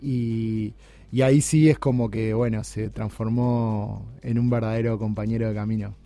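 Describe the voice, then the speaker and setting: Hombre con acento uruguayo, charla entrecortada llena de muletillas, voz grave oscura en una radio. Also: male voice, fairly low pitch, fairly even intonation, dark tone, dry recording, faint music behind. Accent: Uruguayan Spanish. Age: 30s. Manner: halting, many restarts and fillers. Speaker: guest. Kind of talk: casual interview chat. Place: radio studio.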